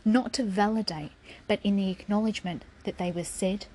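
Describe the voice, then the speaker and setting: A woman's voice: medium pitch, a little fast, darkish tone, slight background noise, poor audio. A woman giving a raw, defiant personal spoken essay, swearing freely and sometimes dropping to a whisper.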